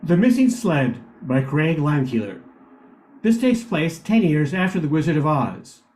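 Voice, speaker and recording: A man's voice: on the high side, very animated, measured pace, a little mellow, rough recording. A man reading the title and cast introductions for an amateur radio play. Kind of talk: scripted radio play announcing.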